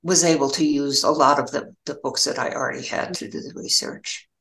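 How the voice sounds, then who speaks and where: An older American woman giving reflective interview answers as a novelist, in a halting, thoughtful way with pauses mid-phrase. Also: extremely low pitch, steady tone, gravelly, fairly bright tone, reverberant.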